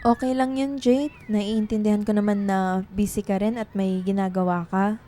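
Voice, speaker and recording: An actress playing a tender, anxious young woman writer in a radio drama, soft and earnest in manner. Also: mid-range voice, fairly even intonation, moderate pace, natural voice. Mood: affectionate.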